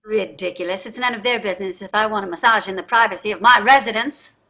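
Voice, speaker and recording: Actress as a bright, husky, theatrical society wife. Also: female voice, mid-range voice, fast talker. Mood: indignant, flirtatious. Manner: affected, gushing, effusive endearments. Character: wealthy, entitled, theatrical society wife and mother. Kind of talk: staged play reading, theatrical acting.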